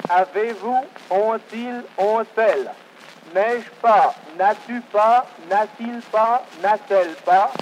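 A man teaching a language lesson, reciting pronunciation and verb drills in a measured, repetitive way. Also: sits high, a little hiss or hum, rough recording.

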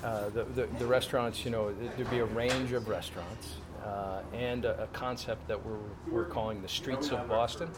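A man answering reporters' questions at a press event, halting and full of fillers and restarts. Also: medium pitch, fairly even intonation, noisy background. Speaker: casino developer spokesman.

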